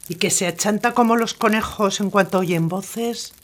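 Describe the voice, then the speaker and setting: A woman's voice: mid-range voice, moderate pace, close to an everyday voice. An actress playing a defiant, weary young country woman, curt and scornful, in a radio drama. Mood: scornful, resigned.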